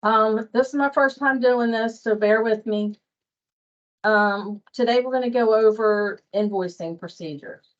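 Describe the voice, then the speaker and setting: A woman's voice: fairly high pitch, measured pace. A woman presenting an informal workplace training session, speaking in a plain-spoken, explanatory way. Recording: dull, muffled lo-fi audio.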